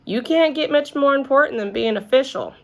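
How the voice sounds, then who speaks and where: A woman's voice: high voice, brisk, voice pushed up. A woman voicing an officious, instructive male grade-school teacher in a children's storybook. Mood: self-important.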